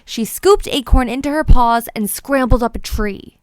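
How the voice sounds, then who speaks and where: Lady reading a kids book out loud, crisp n fairly high, playful storytelling, no echo. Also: normal speed. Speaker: children's book read-aloud presenter. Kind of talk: expressive storybook narration.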